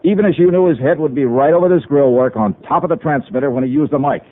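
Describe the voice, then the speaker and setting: An American man playing a sharp, confident freelance insurance investigator in 1950s radio drama, with an insistent, wry, emphatic delivery. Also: fairly high pitch, fast talker, natural voice. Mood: pressing, accusing. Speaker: star of the radio series. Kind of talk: 1950s radio detective acting. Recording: tinny, band-limited old radio broadcast.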